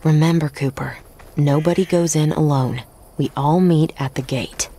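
Low-voiced, confident Western heroine played by a woman. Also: fairly even intonation, moderate pace, dark tone, a little hiss or hum, close to an everyday voice. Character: bold, level-headed Western adventuress. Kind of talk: video game voice acting.

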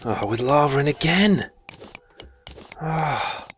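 A man's voice: on the high side, expressive, takes it slowly, a bit bright, slight background noise. A man from England giving rambling, casual commentary while playing a video game, swearing now and then. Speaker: gaming YouTuber. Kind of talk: casual let's play commentary.

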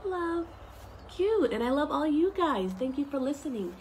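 Animated, high-voiced woman reading to kids. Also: normal speed, a little hiss or hum, rough recording. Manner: expressive, simple explanations aimed at young children. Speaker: story time reader for children. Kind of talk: children's picture book read-aloud with asides. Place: outdoors on a wooden deck among trees.

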